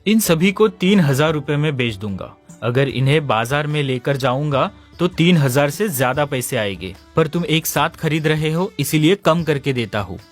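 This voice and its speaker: A man acts as a straightforward village shepherd in an animated moral tale. His voice sits a little high, trusting and earnest, and music plays under it. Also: normal speed, hardly any pauses, close to an everyday voice. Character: plain-spoken, trusting village shepherd, easily upset. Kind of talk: Hindi animated moral story dialogue.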